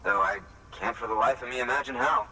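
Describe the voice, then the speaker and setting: Heard from a distance over clear background noise, a male actor plays a weary field scientist in a video game. His mid-pitched voice is friendly and wry, with an appreciative manner. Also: fairly quick, natural voice. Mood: wry, impressed. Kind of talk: video game character dialogue. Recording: distant, noisy ambience.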